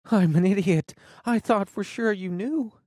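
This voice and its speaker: A woman's voice: medium pitch, measured pace, warm tone, no echo, studio quality, voice pushed up, natural voice. A woman voicing a rattled teenage girl who talks in a rush and keeps breaking into tears. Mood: anxious. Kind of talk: audiobook character voice.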